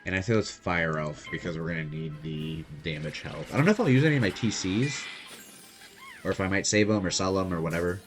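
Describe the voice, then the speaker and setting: A soft-spoken young man casually commenting on his gameplay as host. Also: low voice, a little fast, music in the background. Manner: quiet, soft-spoken, laid-back. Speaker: gaming channel host.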